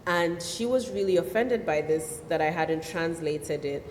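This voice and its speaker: A woman's voice: on the low side, slight background noise. Chatty woman in an echoey hall. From Nigeria. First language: Yoruba. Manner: animated, quick bursts with many fillers. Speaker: novelist guest. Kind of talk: festival stage conversation. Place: festival hall. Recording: echoey hall with long reverb.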